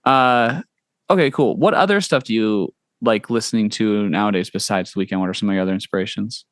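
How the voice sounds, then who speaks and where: A young man hosting a podcast interview, talking in a relaxed, casual way, full of "like" and "you know", quick to agree and encourage. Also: fairly low pitch, no room sound, studio quality. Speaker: podcast host.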